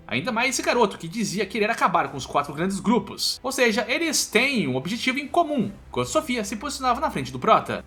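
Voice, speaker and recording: A man's voice: high-pitched, pushed and bright. A man with a Brazilian accent narrating a story recap in an informal, colloquial, run-on way.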